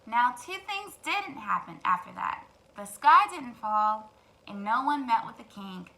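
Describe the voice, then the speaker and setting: A woman narrating a children's story in an animated way, addressing young listeners with wondering questions. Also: fairly high pitch, a little slow, vocal fry, poor audio. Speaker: children's storytime host and reader.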